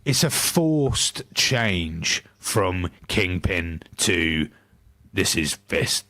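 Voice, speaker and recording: Deep-voiced hesitant man. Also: sing-song, very slow, pushed and bright. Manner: hesitant, many restarts, naturally deep voice. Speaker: podcast co-host. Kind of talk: casual chat.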